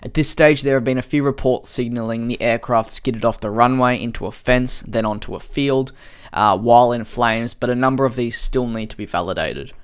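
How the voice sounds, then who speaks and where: A man hosts a news update, narrating a semi-scripted explanation in an earnest, measured way. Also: mid-range voice, clear, resonant tone. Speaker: aviation news channel host.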